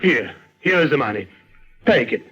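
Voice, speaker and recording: A man's voice: mid-range voice, big pitch swings, moderate pace, loud music behind the voice, lightly acted. An actor playing a pompous, wary, grasping older businessman in a radio drama, formal and fussy when haggling. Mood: insistent. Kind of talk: 1950s radio drama acting. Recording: dull, muffled old radio recording.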